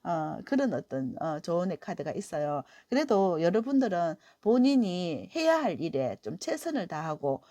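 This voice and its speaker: A middle-aged woman with a cold-roughened voice gives a weekly tarot reading in a gentle, encouraging way, with many soft fillers. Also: on the low side, very animated, moderate pace, few pauses, no room sound. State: hoarse from a cold. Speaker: tarot reader.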